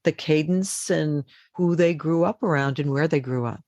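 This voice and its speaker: A woman from Boston, a voice actor, chatting as an interview guest with quick, animated restarts. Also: low-pitched, no echo, muffled recording. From Boston, United States. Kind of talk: conversational interview.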